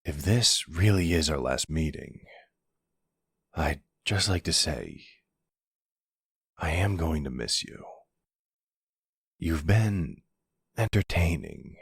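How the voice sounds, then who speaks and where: A man playing a smug, flirty vampire in an intimate ASMR roleplay, teasing and softly menacing, with a husky, drawling delivery. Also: no room sound, studio quality, full character voice. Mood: wry, fond. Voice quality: husky, gravelly, very deep. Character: flirty, smug male vampire taunting a captive hunter.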